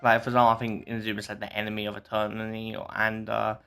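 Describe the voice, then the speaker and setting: A man's voice: fairly low pitch, monotone, fast, gravelly, a bit bright. A man giving casual playthrough commentary, musing aloud and reading game dialogue in a rambling way. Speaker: game streamer. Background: faint game music.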